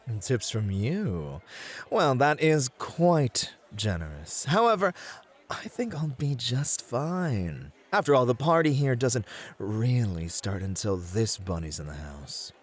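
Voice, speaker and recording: A man acts out a playful bunny host in a flirty club ASMR roleplay. His mid-pitched voice swings widely up and down. He is confident and breezy, a courteous charmer with a showman's flourish. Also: very animated, slow pace. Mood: playful, cocky.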